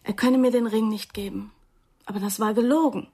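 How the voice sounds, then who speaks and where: Woman playing a breathy, agitated young lady. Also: medium pitch, steady tone, fairly quick, dark tone, natural voice. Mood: agitated, pleading. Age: young adult. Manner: breathy, dramatic, indignant. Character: agitated, defensive young Parisian woman, prim and dramatic. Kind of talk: German radio crime drama acting.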